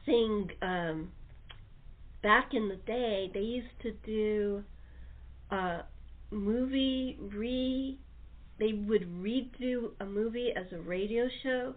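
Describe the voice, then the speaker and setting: A woman's voice: fairly high pitch, slow pace. A woman talk show host chatting casually in a chatty, enthusiastic way. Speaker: talk show host and radio-play producer. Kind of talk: casual chat. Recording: thin narrow phone-line audio.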